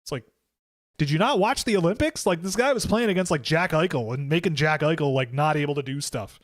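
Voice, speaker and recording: A man hosting a live stream in a loose, rambling way, trailing into fillers and doubling back on himself. His voice sits on the higher side, and the sound is close and dry, with no room echo. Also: fairly quick. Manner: rambling and conversational, many fillers and restarts. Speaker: host of a hockey draft livestream. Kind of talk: casual livestream commentary answering chat.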